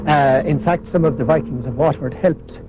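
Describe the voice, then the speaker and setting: A man's voice: on the high side, fairly quick. An Irish man, a local historian, talking as he walks through old city streets, with many hesitant fillers. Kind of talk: walking interview. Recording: muffled 1980s broadcast with street noise.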